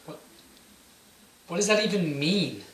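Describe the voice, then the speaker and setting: A man's voice: high voice, very animated, a little slow. A young man playing an uneasy, evasive regular guy with a hesitant, casual delivery in an amateur stage play. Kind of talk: amateur one-act stage play dialogue. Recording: echoey room, distant mic, hissy noise.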